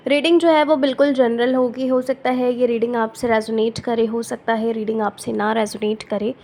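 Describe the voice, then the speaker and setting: A woman tarot reader with a sore throat, speaking softly and gently, often checking in with her viewers. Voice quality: soft and low-volume.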